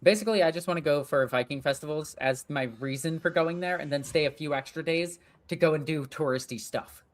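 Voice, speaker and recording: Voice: medium pitch, brisk, hardly any pauses. A young adult gaming streamer chatting casually and rambling while playing a strategy game, swearing now and then.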